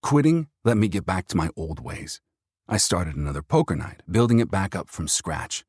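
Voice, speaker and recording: A man's voice: deep voice, big pitch swings, moderate pace, darkish tone, slightly rough, no room sound, clean studio sound. A man reading first-person fiction as an audiobook narrator, performing the text in character.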